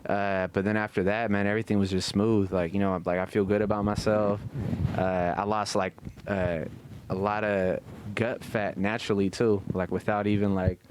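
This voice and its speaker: A man's voice: fairly low pitch, level tone, moderate pace, noisy background. A man talking casually as a podcast co-host, with slangy, profane speech and frequent "you know what I mean" tags.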